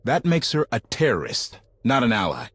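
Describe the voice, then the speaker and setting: A disapproving crew member in a video game. His voice is rough and medium in pitch, blunt and animated. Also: normal speed, full character voice. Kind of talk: video game cutscene acting.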